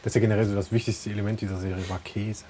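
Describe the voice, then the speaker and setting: A man's voice: fairly low pitch, little pitch movement, racing, warm tone, a little hiss or hum. A man chatting casually and informally within a group of friends. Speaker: podcast co-host.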